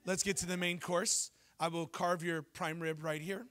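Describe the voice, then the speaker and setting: A man in his thirties preaching a sermon on a church stage into a handheld mic, telling lively stories and asking the congregation questions. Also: high voice, moderate pace. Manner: animated storytelling preacher, jokes and asks the crowd questions. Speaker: pastor.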